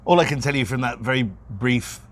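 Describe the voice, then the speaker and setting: A man's voice: mid-range voice, brisk. A man co-hosting a property podcast in casual, unscripted chat, talking in a rambling, self-deprecating way. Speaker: podcast co-host, real estate broker.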